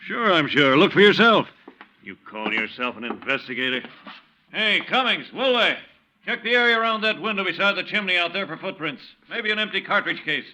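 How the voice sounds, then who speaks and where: An actor playing an officious, suspicious young police sergeant in a 1950s radio drama, brusque and bossy. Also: male voice, high voice, animated, brisk, sharp tone, a little hiss or hum, full character voice, poor audio. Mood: irritated, bossy.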